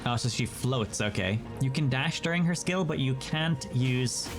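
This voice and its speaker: A man's voice: mid-range voice, expressive, moderate pace. A man hosting a gaming video with chatty, self-joking, casual commentary. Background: game music.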